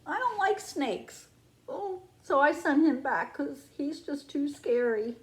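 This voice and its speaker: A woman reading a picture book aloud to young children like a preschool teacher, with expressive, exaggerated wonder. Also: sits high, takes it slowly.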